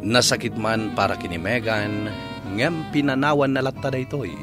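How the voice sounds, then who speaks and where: A man's voice: medium pitch, normal speed. A man narrating a radio drama from a script as announcer, with music behind him. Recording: loud music bed under voice.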